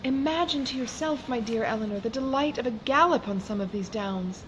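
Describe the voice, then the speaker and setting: Ardent romantic girl, emphatic and bright, as a woman reads her out, hissy recording. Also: fairly high pitch, a little fast, pitched above the performer's own voice, lightly acted. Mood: eager. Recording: noisy background hiss.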